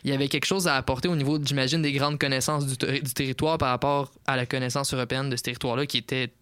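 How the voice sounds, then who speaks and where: A man's voice: medium pitch, steady tone, very fast, fairly bright tone, clean tone, no echo. A man with a Quebec French accent, hosting a casual discussion as a podcast presenter. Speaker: podcast host.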